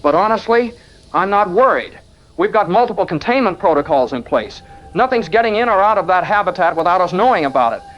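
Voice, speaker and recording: A man's voice: high-pitched, lively intonation, fairly quick, a bit bright. A man playing an earnest young university lab researcher, speaking conversationally in an interview-style audio drama. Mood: proud, excited. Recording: dull audio with background noise.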